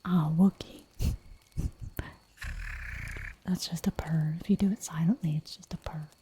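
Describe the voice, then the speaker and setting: Whispering female ASMR streamer, deep, very husky, playful rambling chat. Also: fairly even intonation, unhurried. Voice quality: soft whisper throughout. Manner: giggly, rambling, playful asides. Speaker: ASMR livestreamer.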